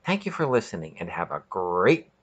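A man's voice: sits low, very animated, normal speed, muffled recording. A man hosting and narrating a scripted short story podcast in a dramatic, emphatic way.

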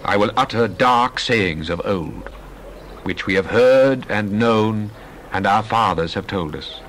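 Slow, low-voiced man reading scripture. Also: fairly bright tone, slight background noise. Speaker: scripture reader. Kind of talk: dramatized Bible reading.

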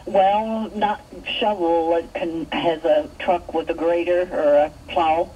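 A woman phoning a radio show with a request, speaking in a hesitant, halting way. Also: fairly low pitch, fairly even intonation, mellow, husky. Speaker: caller. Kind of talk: phone call requesting help. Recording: distant, muffled, slightly noisy phone line.

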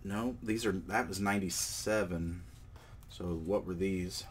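A man with a cold hosting a card collecting channel, talking in a relaxed, rambling way with fillers and self-corrections while he shows cards at a table indoors. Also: low-pitched, a little slow, slight background noise. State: has a cold. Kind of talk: casual show-and-tell vlog.

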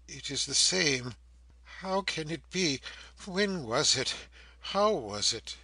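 A broken old man, long imprisoned as a male narrator plays him, speaks in a raised, strained pitch with a husky edge. He is bewildered and anguished, halting and repeating himself as in a classic novel read aloud. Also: animated, sharp tone, dry recording, full character voice. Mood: dazed wonder. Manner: halting, repetitive, exclamatory questioning.

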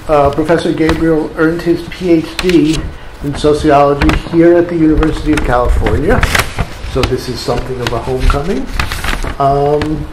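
A man's voice: medium pitch, a little mellow. Older man introducing a speaker, echoey room. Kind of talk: formal introduction, partly read from notes. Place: wood-panelled seminar room, indoors. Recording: echoey room, faint background noise.

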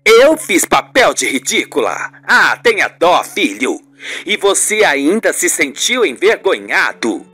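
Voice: mid-range voice, fairly bright tone. A performer voicing a haughty, insistent older mother in a radio soap, with a melodramatic, scolding manner. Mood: indignant. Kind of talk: radio soap opera acting.

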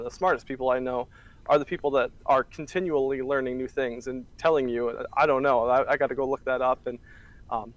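A man's voice: mid-range voice, rough recording. Young man chatting on a video call. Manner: relaxed, chatty, frequent fillers and restarts. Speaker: guest, church media worker. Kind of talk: casual interview answers. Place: small office indoors. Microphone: webcam on a video call.

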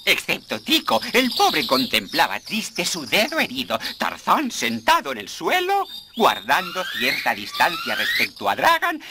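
Male storyteller, expressive swooping pitch, scripted cartoon narration, clear background noise. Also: a little slow, hardly any pauses.

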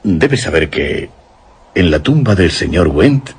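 A man's voice: low-pitched, very animated, slow, husky, exaggerated voice. An actor playing a grave, suspicious old village fisherman from Spain in a radio suspense drama, speaking with ominous emphasis and a Spanish accent from Spain. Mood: ominous, urgent. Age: older.

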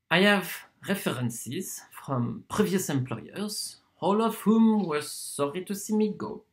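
A performer playing a proud wandering torturer in a theatrical monologue, speaking deliberately with dramatic pauses and swings. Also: medium pitch, lightly acted. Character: proud wandering torturer from Poland, wheedling then contemptuous.

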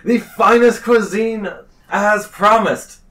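A man's voice: sits high, steady tone, slow pace, a bit bright, pitched above the performer's own voice. A man voicing a pompous little slime king in a tabletop game, with formal, grandiose speech. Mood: grandly welcoming. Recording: distant mic, faint noise.

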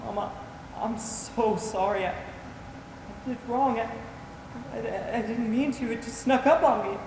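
A young man acting in a stage play as a dying, confused patient, tender and frightened, speaking haltingly. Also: extremely high pitch. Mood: pleading, remorseful. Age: young adult. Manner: halting, repeats words. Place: on a theater stage, distant camera. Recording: echoey theater hall from far off, noisy.

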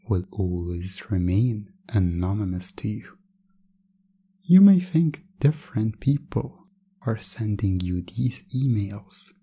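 A man delivers scripted hypnosis narration at an extremely slow pace. His voice is dark and mellow, with a husky, breathy edge. He speaks softly and deliberately, often near a whisper, leaving pauses between phrases. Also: lowish voice.

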